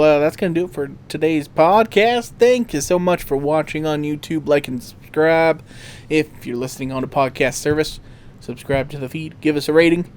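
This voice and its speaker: A man's voice: on the high side, a little mellow, slight background noise. A man hosting a podcast, chatting casually about video games in a rambling way full of "like". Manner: rambling, peppered with "like". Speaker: podcast host.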